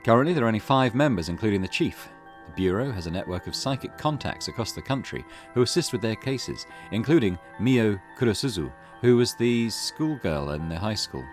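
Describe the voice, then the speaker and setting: A man's voice: lowish voice, expressive, fairly quick. A man hosting a gaming video, reading game text aloud with casual commentary. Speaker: gaming channel host. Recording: music behind the voice. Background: game music.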